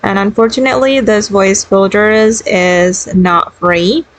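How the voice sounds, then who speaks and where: A woman's voice: medium pitch, fairly even intonation, a little slow. A woman hosting a video tutorial, narrating the steps in a casual, instructional way. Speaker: tutorial host.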